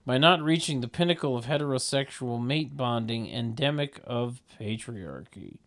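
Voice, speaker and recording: A man narrating a comedy table read in a deadpan way, with mocking sardonic asides. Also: mid-range voice, normal speed, no room sound. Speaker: narrator reading stage directions.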